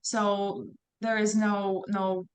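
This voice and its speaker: A woman guest on a video call answering in a careful, measured way with many fillers. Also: medium pitch, level tone, poor audio. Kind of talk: casual video-call chat.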